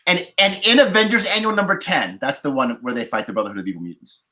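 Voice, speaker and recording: A man's voice: mid-range voice, quick pace, sharp tone. A man talking casually as a podcast panelist about film, explaining his points emphatically.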